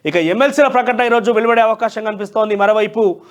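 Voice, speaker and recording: A man's voice: sits high, fast talker, dull audio. A man with a Telangana Telugu accent presenting a newspaper review with opinionated commentary in a conversational, emphatic way. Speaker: news presenter.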